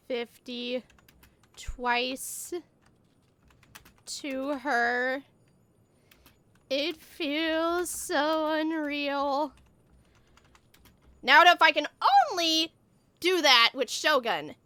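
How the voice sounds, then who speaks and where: A woman chatting casually and a bit scatteredly to viewers as she livestreams a game, excitable in manner. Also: sits high, extremely slow, long pauses. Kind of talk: casual livestream chat while gaming.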